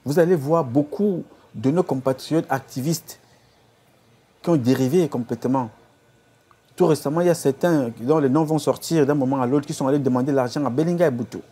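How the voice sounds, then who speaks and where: A man's voice: mid-range voice, a little fast, dark tone, slightly rough. A man from Cameroon, an activist leader giving an impassioned address to his followers, full of repeated exhortations. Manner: exhorting, repetitive rhetorical appeals.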